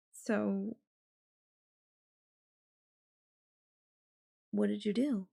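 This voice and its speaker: A possessive yandere girlfriend acted by a woman speaks slowly and intimately, straight to the listener, in an audio roleplay. Her mid-pitched voice coaxes with sweet affection while keeping a controlling, menacing edge. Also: fairly even intonation, vocal fry, mellow, husky, heavily acted. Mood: curious, affectionate. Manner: slow, coaxing, affectionate talk with veiled threats.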